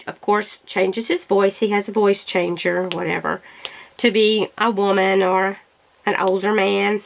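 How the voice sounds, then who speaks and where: Woman vlogger, fry-edged voice, chatty and meandering while crafting, throat roughened by allergies. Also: medium pitch. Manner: rambling, chatty, self-correcting. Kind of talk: casual chat while crafting.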